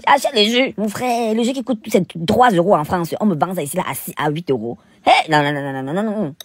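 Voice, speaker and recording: A man's voice: high voice, expressive, fairly quick, no room sound. A man with a Cameroonian accent telling stories in a casual, chatty vlog voice-over, theatrical and exclamatory, with playful indignation. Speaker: cooking vlogger and narrator.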